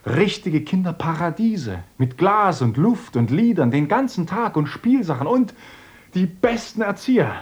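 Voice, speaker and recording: An actor playing an earnest, idealistic young village teacher in a radio play who lectures eagerly and swings between gentle talk and rapturous visions. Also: male voice, sits high, very animated, a little slow, breathy edge, full character voice. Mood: earnest, dreamy.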